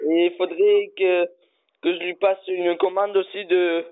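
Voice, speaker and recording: Man, prank caller, stubborn and halting, high voice, tinny phone sound. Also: level tone. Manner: stubborn, halting, absurd demands. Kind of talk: phone prank, playing a pushy customer.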